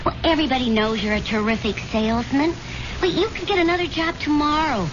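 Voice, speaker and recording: An actress playing an affectionate, worried young wife in a 1970s radio drama, coaxing and playfully bossy. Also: female voice, sits high, fast talker, background noise, close to an everyday voice. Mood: teasing nagging. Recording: dull vintage radio recording with music behind.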